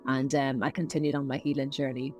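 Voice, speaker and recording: A woman's voice: a bass voice, level tone, brisk, darkish tone, soft background music. An Irish woman, a podcast guest, telling her story in a hesitant, reflective way. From Ireland. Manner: hesitant, reflective, many fillers. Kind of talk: casual interview chat.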